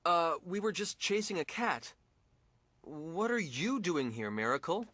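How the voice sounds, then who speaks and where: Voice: mid-range voice, expressive, measured pace, fairly bright tone, dry recording. An actor voicing a good-natured, hesitant young man, the easily flustered hero of a video game. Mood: bemused. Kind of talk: English video game dub acting.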